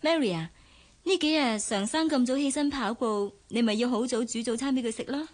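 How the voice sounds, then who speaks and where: High-voiced, gentle, playful young auntie played by an actress. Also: female voice, a little fast, natural voice. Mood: puzzled. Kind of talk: radio drama acting.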